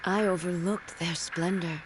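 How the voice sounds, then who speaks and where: A woman's voice: fairly low pitch, steady tone, lightly acted. Woman doing a grave warrior queen in a game, formal n dutiful, noisy background. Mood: sorrowful. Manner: formal, solemn, measured. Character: regal female warrior ruler, grave and dutiful. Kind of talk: video game voice acting. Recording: game audio with clear background noise.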